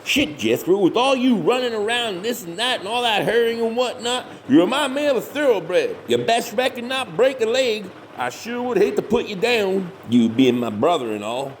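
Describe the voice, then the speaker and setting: High, cocky Southern backwoods monster hunter. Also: male voice, very animated, moderate pace, few pauses, a little hiss or hum. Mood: mocking. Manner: sarcastic, folksy, bossy. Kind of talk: comedy horror audio drama acting.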